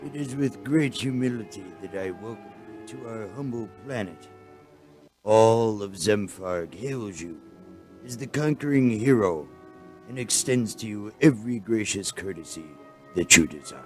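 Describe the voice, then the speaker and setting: A low-voiced, courtly alien chancellor, acted by a man in a radio play. He is humble and gloomy, speaking formally and haltingly over faint music. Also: expressive. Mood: courtly, resigned.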